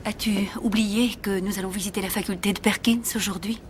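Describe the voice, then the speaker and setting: A woman playing a stern, controlled mother worn down by her rebellious son in a dubbed TV drama, with measured, formal, biting delivery that often turns hushed. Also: medium pitch, steady tone, noisy background, lightly acted. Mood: cold, cutting. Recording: music under the voice. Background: music.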